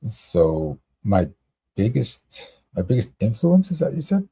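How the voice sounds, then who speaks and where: A man's voice: medium pitch, huge pitch swings, slow pace, gravelly, warm tone, breathy edge. A man from Canada telling personal stories casually as a podcast guest. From Canada, Toronto area. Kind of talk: casual chat, personal storytelling.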